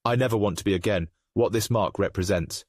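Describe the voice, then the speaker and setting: A man playing a grave, remorseful aristocratic father in a comic dub, speaking in a formal, measured way. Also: lowish voice, no echo, studio quality, natural voice. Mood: solemn, remorseful.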